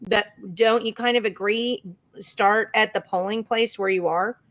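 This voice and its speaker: Woman, mid pitch, emphatic, conversational guest. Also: steady tone, measured pace, fairly bright tone, rough recording. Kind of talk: election talk show discussion.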